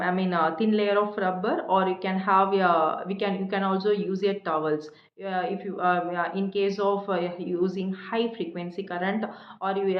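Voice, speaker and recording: A woman lecturer with an Indian accent gives an explanatory technical lecture in a halting, hesitant way, repeating and rephrasing as she goes. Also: medium pitch. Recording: echoey room, dull muffled sound.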